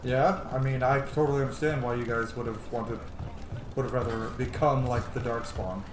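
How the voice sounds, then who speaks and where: A man's voice: mid-range voice, fairly even intonation, normal speed, background noise. A man commenting casually on a game he is playing, informal and muttering his reactions. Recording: roomy echo, game music behind.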